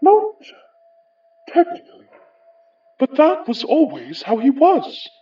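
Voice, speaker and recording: An actor playing the summoned remnant of an English gentleman, wry, wistful and formal, with a British accent, in an audio horror drama. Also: high voice, huge pitch swings, far from the mic, highs cut off, exaggerated voice. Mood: wry, musing. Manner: measured, formal, musing, ornate phrasing.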